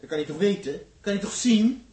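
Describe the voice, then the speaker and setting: An actor playing a weary, anxious and excitable male space traveller in a 1960s Dutch radio drama, stammering and exclaiming, on an old recording. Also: high-pitched, brisk. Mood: agitated.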